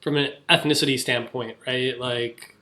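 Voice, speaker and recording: A man's voice: mid-range voice, steady tone, normal speed, sharp tone. A young man co-hosting a podcast, talking casually with lots of fillers and restarts. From United States. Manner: chatty, filler-heavy, many restarts. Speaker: podcast co-host. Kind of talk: casual chat.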